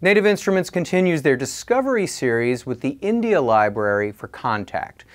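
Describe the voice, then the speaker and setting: A man narrating a scripted product review in a clear, explanatory way with measured phrasing. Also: mid-range voice, big pitch swings. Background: music and instrument demos.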